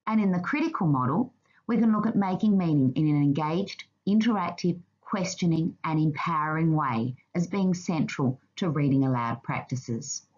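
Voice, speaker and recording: Low, husky woman reading a formal presentation. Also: lively intonation, darkish tone, dull audio. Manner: formal, measured reading aloud. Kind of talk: scripted slide presentation narration.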